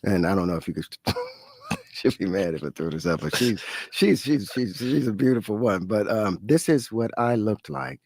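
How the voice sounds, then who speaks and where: A man in his fifties, a podcast guest, talking in a relaxed, unhurried way and laughing easily. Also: lowish voice, no room sound. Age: 50s. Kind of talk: casual podcast conversation.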